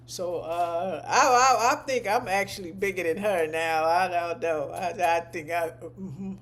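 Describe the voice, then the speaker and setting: Woman, deep voice, coding teacher, live-stream chat, rambling, repeats herself. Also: a little hiss or hum. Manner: chatty, rambling, repeats points for emphasis. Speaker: medical coding instructor.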